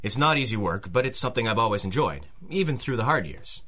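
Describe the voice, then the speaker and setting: Low-voiced, earnest big working man. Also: lively intonation, bright, forceful tone. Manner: halting, earnest, courteous. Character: big, plain-spoken working man, polite, earnest, bashful. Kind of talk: old-time radio drama acting.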